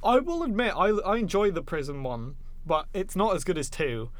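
A man with a fairly high-pitched voice chats casually as a podcast co-host. He is an enthusiastic, opinionated fan who talks films in an informal way. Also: sing-song, normal speed, dry recording. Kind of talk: casual film discussion.

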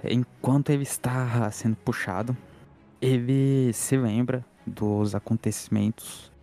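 Man, Brazilian accent, dark mellow timbre, dramatic deliberate storytelling, tabletop player, faint music. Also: mid-range voice, fairly even intonation. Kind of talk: improvised third-person character narration.

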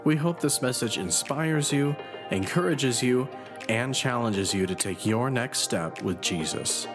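A man reading a scripted, welcoming podcast intro in a smooth voice. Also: mid-range voice, animated, a little slow. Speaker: podcast announcer. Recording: announcer over loud music.

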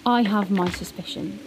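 A woman's voice: mid-range voice, background noise, natural voice. A woman playing a defiant, militant budgie commander in an audio drama, assertive and insistent. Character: militant, defiant budgie commander rallying birds against humans.

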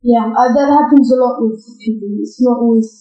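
A woman's voice: on the high side, fairly even intonation, a little fast, dark tone, reverberant, muffled recording. A woman interviewing a guest on a podcast, asking questions and giving short encouraging replies. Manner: brief, encouraging backchannels.

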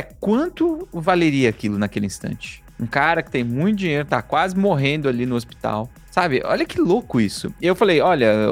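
A man telling a story in an emphatic, lively way, with swearing and rhetorical questions. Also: on the high side. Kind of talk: storytelling monologue.

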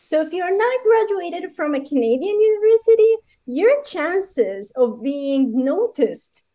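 A woman from Iraq, a pharmacist, telling her story as a guest in an interview with lively asides and many fillers. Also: extremely high pitch, unhurried, highs cut off. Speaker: guest, pharmacist running licensure courses. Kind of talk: conversational interview.

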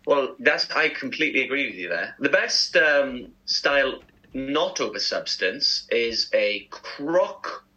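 A man co-hosts a casual breakfast radio show. His voice has a bright, forward edge with a husky grain. He talks in a chatty, enthusiastic way. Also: medium pitch, big pitch swings, measured pace, dull audio. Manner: chatty, enthusiastic food talk.

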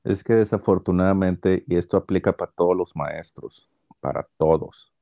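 Mexican male guest teacher, low voice, muffled sound, chatty explainer. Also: moderate pace, vocal fry. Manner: explanatory, frequent "este" and "o sea" fillers. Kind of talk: casual explaining.

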